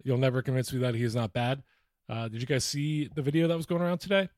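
A man's voice: mid-range voice, fairly even intonation, quick pace, darkish tone, no echo, clean studio sound. A man co-hosting a comedic sports podcast in casual chat, with a mocking, profane streak. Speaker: podcast host.

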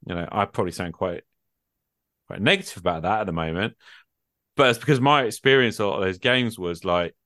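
A man's voice: on the low side, expressive, a little fast, fairly bright tone, no room sound, studio quality. A man in a casual discussion about gaming, thinking aloud informally and often dropping in small conversational asides. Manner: informal, thinking aloud, peppered with "you know" and "like". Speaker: tabletop role-playing gamer in discussion.

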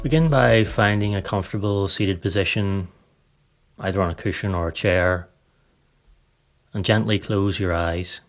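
A man narrating a scripted guided meditation in a calm, measured, soothing way. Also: sits low, faint music behind.

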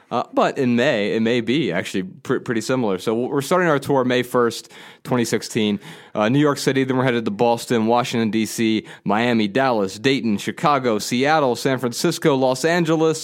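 A man in his thirties co-hosting a podcast in casual chat, his voice a little nasal from a cold, rambling with restarts and fillers. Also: mid-range voice. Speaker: podcast co-host.

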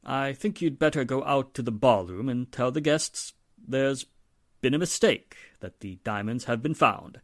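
A man's voice: mid-range voice, measured pace, dry recording, natural voice. A man playing a stuffy, hypocritical wealthy father, formal and pompous, in a 1940s-style radio drama. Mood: composed, formal.

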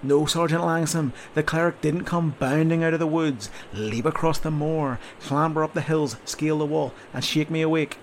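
A loud, sardonic army sergeant, as a male narrator puts him on, barking orders in a slow, mocking drawl. The voice has a gravelly edge, read as a storybook character, with a faint hiss behind it. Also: medium pitch, lightly acted. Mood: mocking lecture. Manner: loud, sardonic, drawn-out scolding. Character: gruff blustering male army sergeant, sarcastic. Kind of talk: audiobook character voice.

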